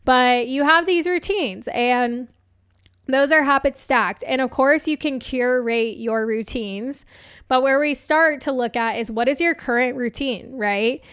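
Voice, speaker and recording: A young adult woman hosting a solo coaching podcast, chatty and upbeat with lots of fillers, her voice a bit hoarse. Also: high-pitched, unhurried, a bit bright, dry recording, clean studio sound. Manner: chatty, upbeat, laughs often, many fillers. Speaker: podcast host and life coach. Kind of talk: casual solo podcast talk.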